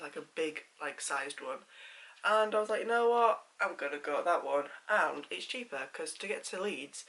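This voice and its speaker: A man's voice: a little high, sharp tone. A man with a Yorkshire accent, chatting casually to camera as a vlogger, rambling with lots of fillers, a bit congested with a sore throat.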